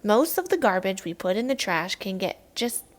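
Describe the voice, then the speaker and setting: A woman's voice: mid-range voice, very animated, normal speed, natural voice. A woman voicing a patient, enthusiastic male schoolteacher in a children's storybook, explaining things emphatically. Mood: emphatic.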